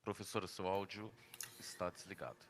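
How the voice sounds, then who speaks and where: A man's voice: sits low, a little fast, vocal fry, in a large hall, slight background noise. A man moderating a seminar, formally introducing the guest speaker. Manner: formal and polite, with a slight stumble.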